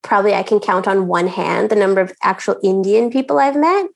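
A woman's voice: mid-range voice, brisk, gravelly. A woman from Canada chatting as a podcast guest, laughing often and restarting her phrases as she talks. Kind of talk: casual chat.

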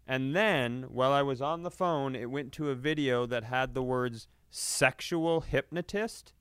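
Clear-voiced man telling rambling comic stories. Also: medium pitch, measured pace, fairly bright tone, dry recording, studio quality. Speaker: comedy podcast co-host. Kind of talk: casual comedic storytelling chat.

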